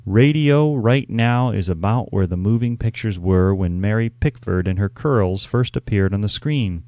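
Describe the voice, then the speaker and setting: A man's voice: on the low side, dry recording, lo-fi sound. A man reading a scripted audiobook as narrator, with an emphatic, instructive delivery.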